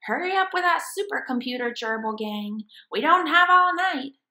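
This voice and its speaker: Hamster mastermind for a comic read-aloud, played by a woman: pompous, grandiose, raised pitch, sharp villainous delivery. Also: lively intonation, normal speed, full character voice. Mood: bossy. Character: small evil genius hamster mastermind, pompous and scheming.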